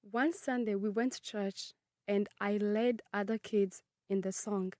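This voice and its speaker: A nineteen-year-old woman from Congo who grew up in Kenya, reading her personal narrative in a measured, earnest way. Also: medium pitch, steady tone, mellow, no room sound, studio quality. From Congo, raised in Kenya. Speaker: student narrator.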